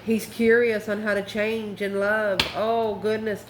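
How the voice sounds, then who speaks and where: A woman's voice: medium pitch, little pitch movement, unhurried. Folksy woman reading tarot in echoey room. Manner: folksy, emphatic, addresses listeners with endearments. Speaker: tarot card reader and channel host. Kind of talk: informal spoken tarot reading. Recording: roomy echo, faint background noise.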